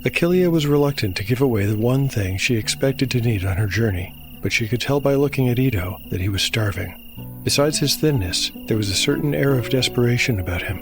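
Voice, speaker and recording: A man narrating a fantasy tabletop game as storyteller and game master, in a measured, scripted delivery. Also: medium pitch, expressive. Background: music.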